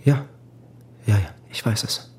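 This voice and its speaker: An actor playing a guilt-ridden, distraught husband in a German radio play, with halting, stammering speech that repeats itself. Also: male voice, darkish tone, a little hiss or hum, natural voice. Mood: pleading, remorseful.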